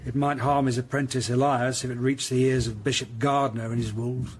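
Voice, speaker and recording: A man's voice: medium pitch, level tone, moderate pace, a little hiss or hum, lightly acted. An actor playing an anxious, hesitant Tudor master tradesman giving reluctant, deferential witness in a period radio drama. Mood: guarded, uneasy. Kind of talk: period audio drama acting.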